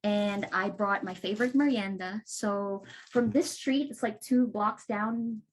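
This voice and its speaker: A woman's voice: medium pitch, measured pace, a little hiss or hum, rough recording. A woman from the Philippines, introducing herself in a friendly, explaining way on a video call. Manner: friendly, explaining, light laughs. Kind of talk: casual video call chat.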